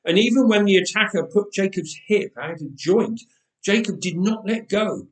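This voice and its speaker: A man reading a story aloud with expressive, dramatic pauses, recorded in an echoey church. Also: sits high, distant mic. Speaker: storyteller, reader. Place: church, indoors.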